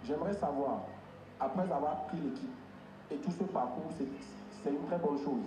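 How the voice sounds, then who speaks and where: A man's voice: on the high side, moderate pace, music in the background. A man, a newspaper journalist, asking a coach a roundabout, hesitant question. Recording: echoey hall with noisy background.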